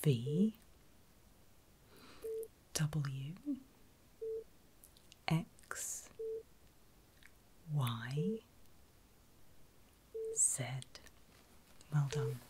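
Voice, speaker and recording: A woman speaking in a soft, breathy whisper, gently reassuring the listener as a medical carer in an ASMR roleplay. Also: low-pitched, expressive, drawn-out words, long pauses, a little hiss or hum.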